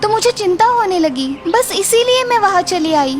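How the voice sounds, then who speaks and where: A woman's voice: very high voice, animated, moderate pace. A woman voicing a tender, devoted mother in a children's cartoon, pleading and affectionate. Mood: worried, pleading. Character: tender, self-sacrificing mother of a young boy. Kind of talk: Hindi children's cartoon dialogue.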